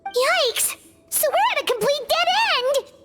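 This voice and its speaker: A woman voicing a small, sweet, earnest nurse girl with polite, expressive delivery in a video game. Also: extremely high pitch, a little slow, soft background music, exaggerated voice. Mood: dismayed.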